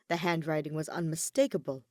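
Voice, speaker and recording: A woman's voice: sits low, a little fast, darkish tone, no room sound, studio quality. A woman reading scripted fiction aloud as a story narrator.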